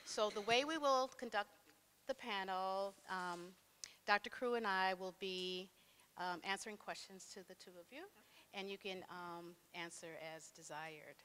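Woman moderator, halting and mid-pitched. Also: fairly bright tone, smooth. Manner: reads thanks, then asks questions with frequent pauses. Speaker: panel moderator. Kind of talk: opening remarks and questions at a panel. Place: art gallery, indoors.

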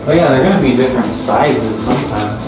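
A man's voice: mid-range voice, a little fast. A young man chatting dryly and joking while exploring an abandoned building. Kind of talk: casual chat. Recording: echoey, from off mic, music.

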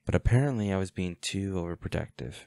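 A man playing a protective, defiant hunter boyfriend in an intimate roleplay audio drama, speaking unhurriedly with sighs and pauses. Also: deep voice, vocal fry, dark tone, no echo. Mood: fond, wry. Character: protective, defiant boyfriend, a monster hunter, tender but ruthless.

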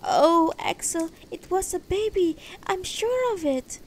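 Woman acting a distressed old wife, very high-pitched. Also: expressive, slow, slight background noise, exaggerated voice. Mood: tearful, insistent. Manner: pleading, distressed, high-voiced. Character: anxious, tender elderly wife in a fantasy tale.

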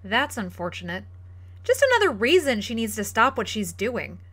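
A woman's voice: fairly high pitch, very animated, moderate pace, fairly bright tone. A woman playing an indignant, argumentative, judgmental character in an audio drama. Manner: combative.

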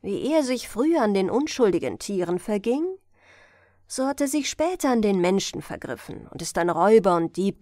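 Slow, melodic bedtime storyteller woman. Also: fairly high pitch, warm tone, no room sound, studio quality. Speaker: audiobook narrator. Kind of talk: gentle bedtime fairy-tale reading.

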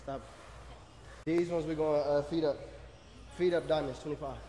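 A man's voice: fairly high pitch, steady tone, moderate pace, mellow, slight background noise. A young man casually narrating his chest workout between sets, in a laid-back way with slang, recorded by a camera across a large indoor gym. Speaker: fitness vlogger. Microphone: camera on a stand across the room. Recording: echoey gym, far from camera.

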